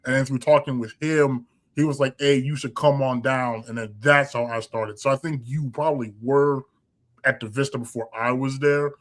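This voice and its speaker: A man's voice: medium pitch, steady tone, moderate pace. A young man hosting a podcast, chatting casually and rambling through his memories with many restarts. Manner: rambling, lots of fillers and restarts. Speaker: podcast host. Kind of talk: casual interview chat.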